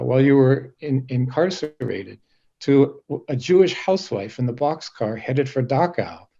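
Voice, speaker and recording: A man moderating a panel, putting measured questions in careful, earnest phrasing. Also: mid-range voice, mellow, highs cut off.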